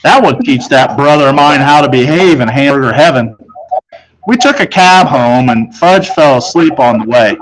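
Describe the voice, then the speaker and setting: Guy teacher reading a kids book out loud, mid-range voice. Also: medium pitch, animated, normal speed, background noise. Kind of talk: narrated read-aloud of a children's novel.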